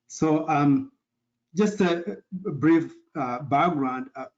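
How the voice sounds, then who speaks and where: A middle-aged man with a Kenyan accent, answering interview questions in an earnest, measured way and often pausing to search for words. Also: fairly high pitch, a little mellow. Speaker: interview guest, professor. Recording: dull lo-fi audio.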